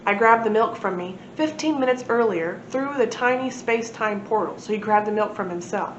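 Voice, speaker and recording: Woman reading aloud in an echoey room. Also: normal speed. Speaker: library storyteller. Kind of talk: expressive children's read-aloud. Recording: echoey room, muffled, noisy low rumble.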